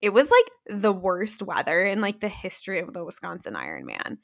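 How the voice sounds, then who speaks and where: A slightly hoarse woman co-hosting a casual podcast, rambling along in conversational chat. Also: mid-range voice, wildly swooping pitch, normal speed, a bit bright. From Pennsylvania. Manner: rambling, many "like" fillers. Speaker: podcast co-host.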